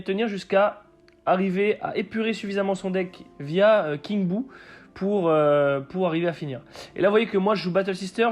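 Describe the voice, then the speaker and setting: A man with a hoarse voice from a cold, commentating a card game match he played and explaining each play step by step. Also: sits high. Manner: explains plays step by step with frequent fillers.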